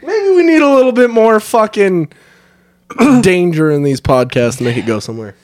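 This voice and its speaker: A man's voice: high voice, lively intonation, moderate pace, darkish tone. A man co-hosting a podcast in casual, joking chat who swears often, laughs hard and retells comedy jokes. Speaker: podcast co-host.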